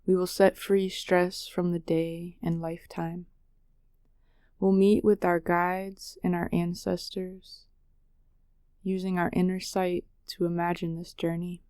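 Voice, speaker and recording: Slow, hushed-toned woman guiding meditation. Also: on the low side, flat delivery, clear, resonant tone, dry recording, studio quality. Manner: soothing, unhurried, measured delivery with long pauses. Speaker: meditation guide. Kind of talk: guided meditation narration.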